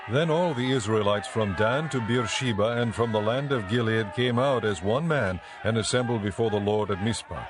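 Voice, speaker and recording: A man giving measured, solemn scripted narration for a dramatized Bible audiobook. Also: lowish voice.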